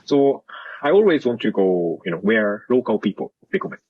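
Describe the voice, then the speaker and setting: Man, Japanese accent, low husky voice, lively intonation, hesitant, dull lo-fi sound. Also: moderate pace. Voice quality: soft, small voice. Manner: hesitant, many "you know" and "hmm". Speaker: podcast guest. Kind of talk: casual chat over drinks.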